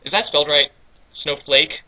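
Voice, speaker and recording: A man's voice: a little high, normal speed, sharp tone, poor audio. A man reading fanfiction aloud with theatrical, jokey delivery, breaking off into casual banter. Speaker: reader in a group comedy reading.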